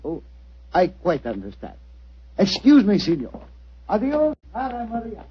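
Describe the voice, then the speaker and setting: An actor playing a flowery, excitable Italian musical director with broken English and effusive manner, in old radio drama style. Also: male voice, sits high, a little slow, husky, full character voice. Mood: obliging. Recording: muffled old radio recording.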